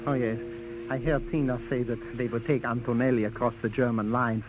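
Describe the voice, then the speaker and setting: A man's voice: medium pitch, flat delivery, fairly quick, natural voice. An actor playing a sly, greedy henchman, oily and mock-polite, in a 1940s radio drama. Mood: oily, amused. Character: sly, greedy, charming male double-crossing henchman. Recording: dull, hissy old radio recording.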